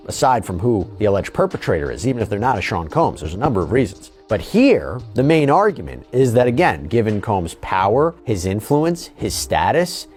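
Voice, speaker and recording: A man hosting legal news commentary, reading and explaining in an emphatic, deliberate way. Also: mid-range voice, darkish tone, slightly rough.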